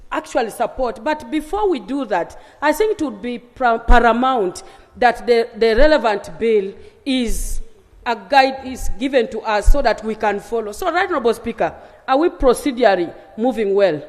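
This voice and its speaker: Brisk legislator raising a point of order. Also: mid-range voice. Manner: brisk and persistent, with some restarts. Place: large legislative chamber. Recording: long reverb of a large chamber.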